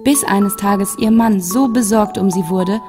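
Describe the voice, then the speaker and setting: A woman's voice: mid-range voice, measured pace. A woman narrating a scripted children's fairy tale as a storyteller. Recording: long reverb, music behind.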